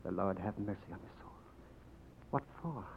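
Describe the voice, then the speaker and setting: An actor playing a meek, frightened witness who stammers haltingly, in 1940s radio style. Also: male voice, fairly low pitch, creaky, narrow, tinny audio. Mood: fearful. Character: timid, frightened, pious Irish male witness. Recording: thin, noisy old radio broadcast.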